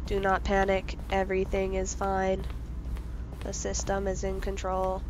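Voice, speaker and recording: A woman with a stuffy nose, commenting casually and excitably on a video game as its let's play host. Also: medium pitch, unhurried, noisy background. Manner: excitable, bursts into yelps and laughs. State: stuffy from a cold.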